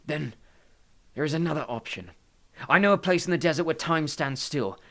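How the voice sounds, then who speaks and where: A man's voice: on the high side, animated, normal speed, a bit bright, pitched above the performer's own voice. A man voicing an anxious, protective, brooding god of the underworld who pleads urgently, in a storybook. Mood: anxious pleading.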